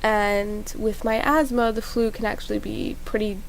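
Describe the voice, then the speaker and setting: A woman's voice: medium pitch, level tone, normal speed, vocal fry, heavy background noise. Chatty young woman with a sore throat. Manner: rambling, chatty, with fillers. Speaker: gaming YouTuber. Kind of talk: casual let's-play commentary. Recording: loud game music behind.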